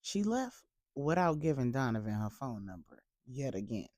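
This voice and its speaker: A woman storyteller giving a deliberate, emphatic, dramatic narration of a bedtime story for grown-ups. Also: rumbling low, sing-song, a little mellow, no room sound, studio quality.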